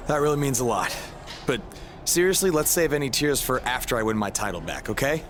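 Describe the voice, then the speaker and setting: A man's voice: medium pitch, animated, a little fast, noisy background, close to an everyday voice. A man playing a reserved, loyal young wrestler in a video game cutscene, talking in a casual, guarded way. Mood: casual, sincere.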